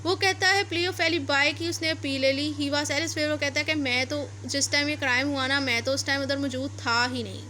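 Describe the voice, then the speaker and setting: A woman's voice: high voice, fairly even intonation, moderate pace, hardly any pauses, bright, forceful tone, a little hiss or hum. A woman teaching law, reading passages aloud and then explaining them step by step, repeating and rephrasing her points. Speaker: law lecturer.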